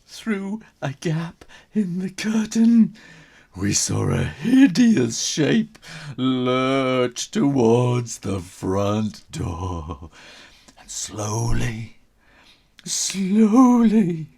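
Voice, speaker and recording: A man's voice: medium pitch, very animated, drawn-out words, creaky, darkish tone, slightly rough. A man reading a children's story aloud as its author, theatrical and suspenseful, drawing out his words.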